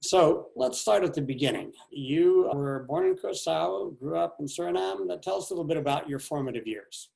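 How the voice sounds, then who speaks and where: An older man hosting an interview over a video call from a large living room, introducing his guest in an admiring, unhurried way. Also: a little high, animated, gravelly, a little mellow, low-quality recording. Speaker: show host. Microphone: video call webcam.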